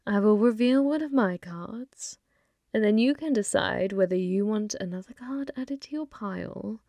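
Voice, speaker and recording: A woman voicing a flirty, possessive female demon bartender in an intimate ASMR roleplay, teasing and unhurried, sometimes slipping into whispers. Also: mid-range voice, lively intonation, dark tone, dry recording, natural voice. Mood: playful, sly.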